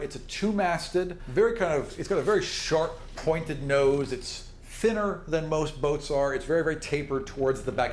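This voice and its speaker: A man runs a tabletop game as game master, describing scenes off the cuff in a mid-pitched voice. The recording is roomy, with a faint layer of noise behind him. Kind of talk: improvised narration of a tabletop roleplaying game.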